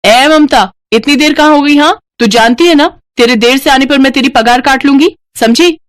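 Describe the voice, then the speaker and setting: A haughty, wealthy mistress voiced by a woman storyteller from a moral tale. Her voice is pitched high and scolds sharply, with arrogant contempt. The recording is close and dry. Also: normal speed, dry recording, natural voice. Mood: haughty anger.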